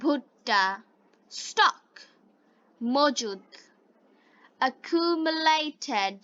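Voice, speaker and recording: A Bengali-speaking woman teaching English, reading phrase by phrase with deliberate enunciation in a repeat-after-me lesson style. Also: high voice, lively intonation, fairly bright tone, highs cut off. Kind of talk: slow read-aloud English lesson with repeat-after-me drills.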